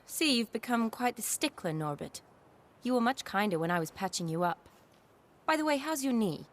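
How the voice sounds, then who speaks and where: An actress playing a confident, wry medic and scholar in a video game, speaking with a teasing, assured manner. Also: female voice, a little high, very animated, moderate pace. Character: confident, wry female medic and academy lecturer.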